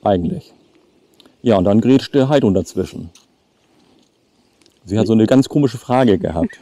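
A man's voice: on the low side, lively intonation, a little slow, mellow, no echo. A man in his late fifties chatting casually to the camera outdoors as a travel vlogger. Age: late 50s. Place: outdoors by a lake.

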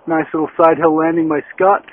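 A man's voice: on the high side, little pitch movement, brisk, a little hiss or hum. A middle-aged man filming himself on an open hillside with a phone held at arm's length, chatty and self-deprecating about his hike. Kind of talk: casual self-filmed vlog. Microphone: phone camera at arm's length. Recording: tinny lo-fi phone recording.

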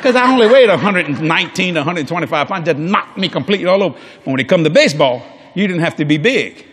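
A man's voice: a little high, lively intonation, fast talker, fairly bright tone, slightly rough. An older man preaching a sermon on a large church stage before a congregation, folksy and jokey, acting out quoted people in different voices.

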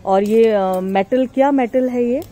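A woman's voice: fairly high pitch, slow pace, warm tone. A woman with an Indian accent chatting casually and inquisitively as a vlog host, mixing Hindi and English. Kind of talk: casual vlog commentary and chat with artisans. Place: outdoors in an open yard.

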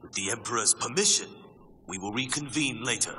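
A man's voice: mid-range voice, fairly quick, slight background noise, close to an everyday voice. A man playing a scheming, pompous sorcerer who presides over a tournament in a theatrical way, in a video game. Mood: smug. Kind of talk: dramatic video game acting. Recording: long hall reverb under loud music.

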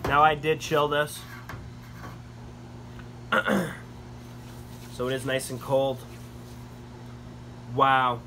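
Young man, casual beer review, middle-pitched voice, relaxed and enthusiastic, faint air-conditioning hum. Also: low-quality recording. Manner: relaxed, enthusiastic tasting comments with frequent fillers. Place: small indoor room with wood-paneled walls.

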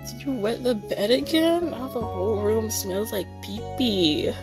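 A woman voicing a crude, fed-up animal principal in a parody cartoon, with slangy, drawling deadpan. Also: fairly high pitch, a little slow, music in the background.

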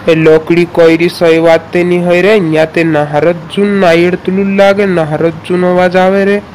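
A man voicing an excitable cartoon boy in a village comedy, urgently repeating exclaimed warnings. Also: sits high, moderate pace, a little hiss or hum, lightly acted, poor audio. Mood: insistent.

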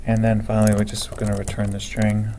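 A man's voice: lowish voice, monotone, background noise. A man walking through code step by step in a screencast lesson, thinking aloud as he types. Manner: slow, methodical, thinking aloud while typing. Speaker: programming teacher. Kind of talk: screencast coding tutorial. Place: at a computer, keyboard typing heard.

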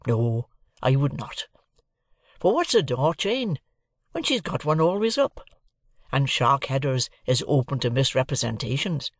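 A man voicing a simple, kindly male village blacksmith who speaks slowly and earnestly in rustic speech. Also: mid-range voice, sing-song, no room sound, studio quality.

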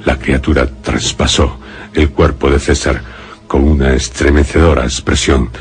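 Guy, super deep voice, solemn spooky radio drama narrator, bit of music behind. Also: flat delivery. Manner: deliberate, solemn, dramatic storytelling. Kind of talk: scripted suspense radio narration. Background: faint music.

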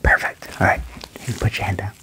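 A young man doing a soft ASMR medical roleplay in a breathy whisper, slow, soothing and reassuring, close to the microphone. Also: slight background noise. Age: young adult. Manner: slow, soothing, reassuring, repeating short praise. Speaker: ASMR roleplayer playing an alien doctor. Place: small indoor room at home. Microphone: close desk microphone with furry windscreen.

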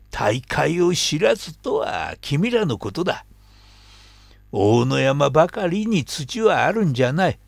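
A man's voice: fairly high pitch, gravelly, no echo, studio quality, heavily acted. A man in his seventies voicing a haughty, mocking male snake who scolds and talks down to others. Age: 70s. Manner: scolding, condescending.

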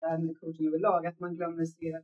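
A man's voice: on the high side, completely flat delivery, muffled recording. A man with a Swedish accent, an off-camera producer joining the conversation and prompting the others. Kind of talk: casual chat.

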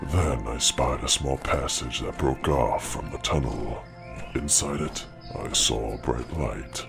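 A man voicing a huge blue dragon in a fantasy audio drama, grave and brooding, with a slow rumbling delivery. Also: vocal fry, music in the background, full character voice. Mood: brooding, solemn.

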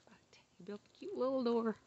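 A woman giving a casual, excited, chatty video tour, recorded outdoors on a handheld phone. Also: fairly high pitch, moderate pace, muffled recording. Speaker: vlogger giving a house update. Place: outdoors in a rural yard and field. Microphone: handheld phone, behind the camera.

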